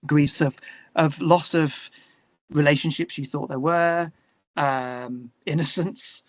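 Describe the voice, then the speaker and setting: A man with an English accent talking candidly and reflectively as an interview guest, searching for his words in a halting way. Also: on the high side, fairly even intonation, dry recording, highs cut off.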